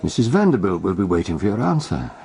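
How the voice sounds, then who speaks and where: A man acting in a British radio detective drama, playing a theatrical, deliberate amateur detective who tells his cases with pointed pauses and a British accent. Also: low voice, sing-song, creaky, mellow, slight background noise, full character voice. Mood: coy, evasive.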